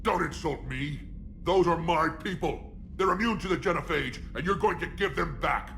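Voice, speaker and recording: An actor playing a proud, hulking male alien warlord in a video game, blunt and defiant, with a gravelly growl. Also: on the high side, normal speed, sharp tone, slight background noise. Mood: furious.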